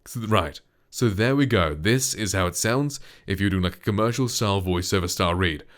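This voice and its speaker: Deep-voiced man, chatty studio mic review. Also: moderate pace. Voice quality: deep, dark voice. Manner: relaxed and chatty, lots of loose filler words. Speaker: voice actor and streamer reviewing a microphone. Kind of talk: casual gear review with voice demos. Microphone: close studio condenser microphone.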